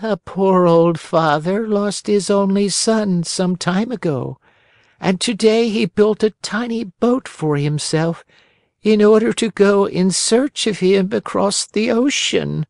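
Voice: mid-range voice, takes it slowly, vocal fry, darkish tone, no echo, clean studio sound, voice pushed up. A narrator acting a worried little old woman. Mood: sorrowful, worried.

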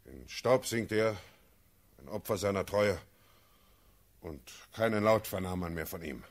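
Husky, earnest officer messenger. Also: male voice, sits low, expressive, measured pace. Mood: grave. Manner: dramatic, formal verse narration. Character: grave male officer bearing news. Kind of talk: German classical radio drama.

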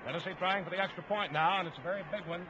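A man's voice: high voice, very fast, muffled recording, background noise. A man calling play-by-play for a 1950s radio football broadcast in clipped, staccato phrases. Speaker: play-by-play sports announcer. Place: stadium broadcast booth.

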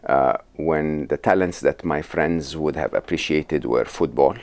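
A man in his fifties from Egypt, a podcast guest talking in a measured, thoughtful way with many pauses, recorded on a lapel mic. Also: rumbling low. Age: 50s. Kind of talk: reflective interview talk. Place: bright studio office with plants.

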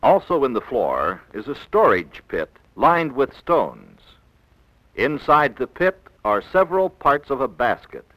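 An American man narrating a 1960s educational film in a measured, didactic, clearly enunciated way, on an old film soundtrack. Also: medium pitch, big pitch swings, fairly bright tone, no echo, rough recording.

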